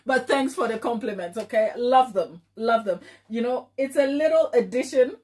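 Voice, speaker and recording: A woman's voice: a little high, normal speed, darkish tone. A woman with a Ghanaian accent hosting a casual live chat, affectionate and playful, often repeating phrases for emphasis. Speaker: baking livestream host.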